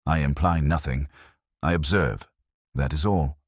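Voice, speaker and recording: A man voicing a cool, incisive detective in an audiobook, speaking formally and deliberately with exacting, probing questions. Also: very deep voice, no echo, clean studio sound. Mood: cool, pointed. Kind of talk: audiobook character reading.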